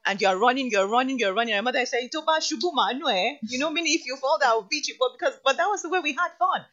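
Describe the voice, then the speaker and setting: A woman's voice: high voice, expressive, very fast, pushed and bright. A woman presenting an on-location interview, effusive and repeating phrases for emphasis. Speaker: radio presenter visiting a school. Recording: dull lo-fi sound.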